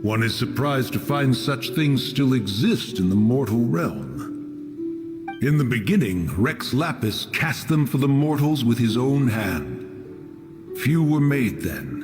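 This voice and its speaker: A man playing an ancient, stern and haughty immortal mountain guardian in a fantasy video game, speaking in formal, archaic phrases. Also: medium pitch. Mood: indignant, haughty, then solemn, proud. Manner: formal, archaic, lofty, calls self "one". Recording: long reverb, music behind.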